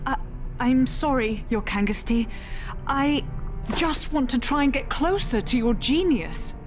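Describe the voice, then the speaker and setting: A woman's voice: high voice, a little slow. A woman voicing a devoted villain's underling in a video game, fawning and apologetic. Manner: apologetic, flattering. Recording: loud music behind.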